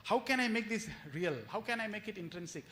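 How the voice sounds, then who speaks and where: A man's voice: sits high, fairly quick, fairly bright tone. A man giving a devotional lecture as a retreat speaker, telling stories with acted-out quotes and rhetorical questions and repeating phrases for emphasis.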